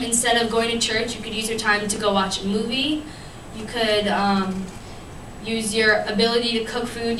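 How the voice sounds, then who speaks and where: A young woman giving an earnest church devotional to a fellowship, with many ums and restarts, her voice still worn from having lost it. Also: mid-range voice. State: recovering from a lost voice. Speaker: youth speaker at church fellowship. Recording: echoey room, distant mic, noisy.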